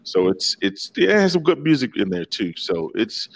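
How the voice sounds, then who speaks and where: A man's voice: medium pitch, very animated, moderate pace, vocal fry. A man from the Washington, D.C. area, a filmmaker talking casually in an interview, rambling with many restarts and fillers. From Washington, D.C. area, USA. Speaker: filmmaker guest. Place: remote call from Italy.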